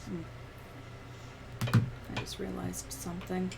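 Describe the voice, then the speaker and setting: A woman's voice: fairly low pitch, monotone, takes it slowly, gravelly, very noisy. A young adult woman livestreamer chatting casually and rambling, with some swearing, close to the microphone. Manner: rambling, profane. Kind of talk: casual livestream chat while editing.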